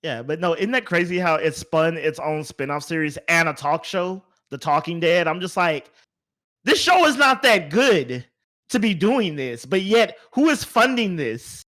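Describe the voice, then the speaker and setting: A man's voice: fairly high pitch, animated, unhurried, bright, forceful tone. A man hosting a podcast in a casual, conversational way. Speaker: podcast host.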